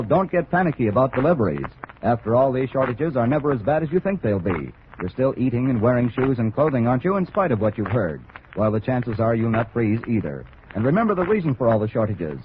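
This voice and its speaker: A man announcing in a 1940s radio advertising style, folksy, reassuring and persuasive. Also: medium pitch. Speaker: radio announcer reading sponsor message. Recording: muffled, slightly noisy old radio.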